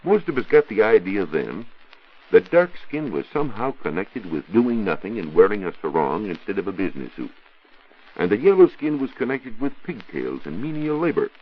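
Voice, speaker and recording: An American man giving a scripted 1940s radio science talk as a reporter, didactic and rhetorical in measured phrases. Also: lowish voice, big pitch swings, husky. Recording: dull 1940s radio recording.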